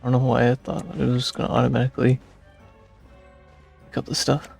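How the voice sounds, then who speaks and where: Gravelly male game streamer. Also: mid-range voice, little pitch movement, quick pace, darkish tone, faint music behind. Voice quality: gravelly, husky. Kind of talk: casual live gameplay commentary.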